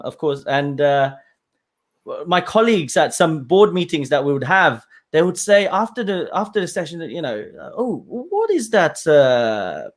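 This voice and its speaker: A man hosting a casual interview chat, talkative and quick to laugh, often restarting his sentences. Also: fairly high pitch. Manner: chatty and warm, laughs often, many restarts. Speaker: podcast host.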